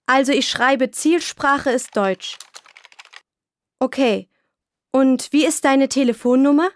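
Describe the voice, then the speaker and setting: A woman playing a helpful young friend who fills in a form for a caller, speaking slowly and clearly in a scripted textbook dialogue. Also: high-pitched. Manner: slow, clear and patient.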